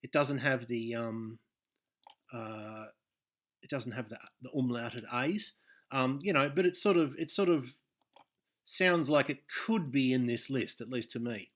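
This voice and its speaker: A man hosting a coding tutorial over a screen share, hesitant and full of ums and restarts, often trailing off quietly. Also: medium pitch, a little slow. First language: English. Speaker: game dev tutorial host.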